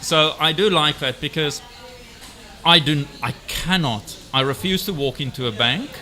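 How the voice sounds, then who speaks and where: Blunt man, very bright voice. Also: fairly high pitch. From South Africa. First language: Afrikaans. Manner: blunt, direct, halting restarts. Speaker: guest entrepreneur. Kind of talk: casual chat.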